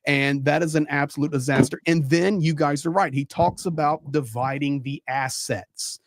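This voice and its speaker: A man's voice: fairly high pitch, steady tone, moderate pace, no echo. A man giving conversational live political commentary as a panel guest, repeating phrases for emphasis.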